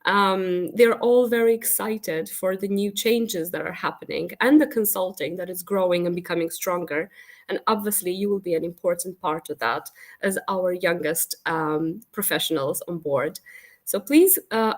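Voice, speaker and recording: A woman consultant and career counselor with a cold, hoarse and hesitant, giving an earnest, encouraging talk at a virtual open evening. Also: on the low side, normal speed. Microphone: video call.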